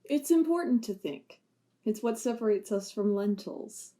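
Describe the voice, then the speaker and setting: A woman's voice: on the high side, animated, unhurried, dark tone. A woman playing a former police officer, Riley, weighed down by guilt, with a wry, sarcastic way of talking. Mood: dry. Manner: wry, sarcastic, turning impassioned. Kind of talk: amateur audio drama acting.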